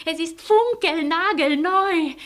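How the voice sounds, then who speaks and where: A woman on the run in a German radio drama. Her voice is high. She sounds earnest, anxious and outspoken, often urgently pleading. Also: takes it slowly, fairly bright tone, full character voice. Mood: reproachful, urging. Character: Marianne, anxious, outspoken woman fleeing wartime France. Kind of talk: German radio play acting.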